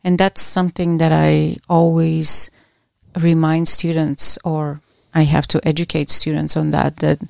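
A middle-aged woman from Bulgaria, an academic guest answering interview questions in a measured, reflective way with small restarts. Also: low-pitched, level tone, creaky, darkish tone, no room sound, studio quality.

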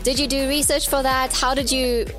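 A woman's voice: sits high, flat delivery, moderate pace, over music. A woman, presenting and interviewing on a radio show in an upbeat, encouraging way.

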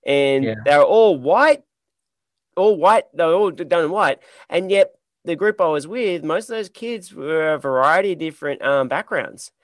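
A man hosting a podcast interview, talking in a lively, emphatic, conversational way. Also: a little high, normal speed, no room sound. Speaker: podcast host.

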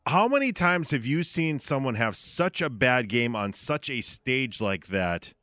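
A man's voice: mid-range voice, expressive, measured pace, pushed and bright, no room sound. A man co-hosts a casual sports radio show from a studio. His voice sits in the middle of the male range and sounds dry, with little room around it.

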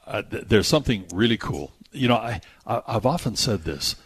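An older man hosts a casual talk radio show. His voice is deep and somewhat raspy, and he wanders from one anecdote to another. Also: expressive, normal speed. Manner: rambling, anecdotal. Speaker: radio host.

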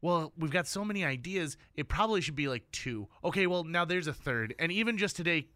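Bright, clear-voiced man chatting on radio. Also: fairly high pitch, expressive, fairly quick, no room sound, clean studio sound. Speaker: radio host and co-writer of radio plays. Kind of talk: casual radio chat.

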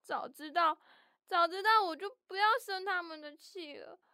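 A woman's voice: sits high, animated, measured pace, a bit bright, no room sound, clean studio sound. A woman voicing a small, naive young mouse with a childlike, innocent and emotional way of talking in a children's audio story. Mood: homesick.

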